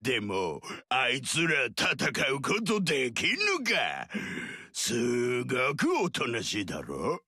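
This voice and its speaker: A childlike hulking ox monster. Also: male voice, mid-range voice, slow, slightly rough, no echo, clean studio sound, full character voice. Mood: curious. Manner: boyish, whiny, excitable. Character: huge male ox-headed monster, childlike and eager. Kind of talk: video game character dialogue.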